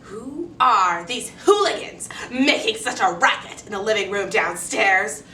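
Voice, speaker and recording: A woman's voice: mid-range voice, lively intonation, unhurried, bright, forceful tone. A woman playing a cruel, haughty stepmother who scolds and accuses in a theatrical dramatic performance. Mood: furious, accusing. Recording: roomy, distant mic, faint noise.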